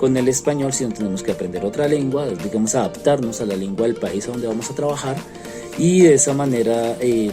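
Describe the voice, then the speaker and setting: A man from Colombia with a Colombian accent, a French teacher earnestly explaining his view to students, pausing often on fillers. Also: lowish voice. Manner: earnest, frequent hesitation fillers. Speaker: French teacher, interviewed expert.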